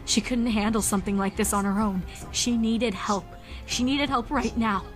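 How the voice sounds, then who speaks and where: A woman's voice: fairly high pitch, fairly even intonation, moderate pace, faint music behind. A woman giving a dramatic scripted narration of a horror story.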